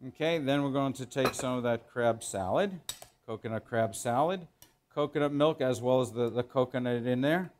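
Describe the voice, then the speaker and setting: A middle-aged man, a chef teaching in a kitchen, explaining as he works in an informal, halting way and repeating phrases for emphasis. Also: mid-range voice, a little mellow, slight background noise. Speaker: chef giving a cooking demonstration. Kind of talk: instructional cooking demo, explaining while working. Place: indoor professional teaching kitchen.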